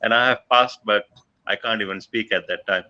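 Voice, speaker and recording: Pakistani man, bright muffled voice. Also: fairly low pitch, steady tone, moderate pace. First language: Punjabi. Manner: hesitant, many fillers. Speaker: livestream chat participant. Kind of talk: casual chat.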